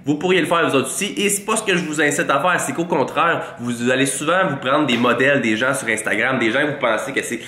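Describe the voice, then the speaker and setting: A man's voice: medium pitch, fast, hardly any pauses, bright, forceful tone, reverberant. Joking Québécois guy with a head cold. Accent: Québécois French. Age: 20s. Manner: playful, mock-boastful. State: congested with a cold. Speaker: fitness vlogger. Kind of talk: joking tutorial to camera. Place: small room with a green-lit wall. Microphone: large studio mic in front.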